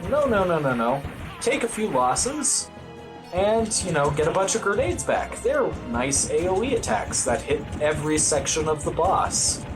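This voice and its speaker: A man's voice: on the high side, very animated, unhurried. A man giving casual live commentary on his own speedrun, with wry, understated humor. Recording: loud game music behind voice. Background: video game music.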